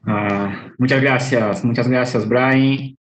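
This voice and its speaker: A man's voice: mid-range voice, steady tone, takes it slowly, rough recording. A middle-aged man from Brazil with a Brazilian Portuguese accent, giving an online business presentation with many "ah" fillers and repeated words. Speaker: webinar speaker.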